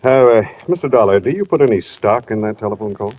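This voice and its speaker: An actor playing a businesslike male company executive in a 1950s radio drama, speaking in a deliberate, formal, slightly fussy manner. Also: lowish voice, animated, a little mellow. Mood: serious, measured. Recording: noisy vintage radio recording.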